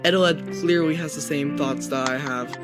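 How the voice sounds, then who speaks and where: Higher-pitched man narrating over guitar music. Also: normal speed. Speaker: storyteller. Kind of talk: scripted first-person horror story narration.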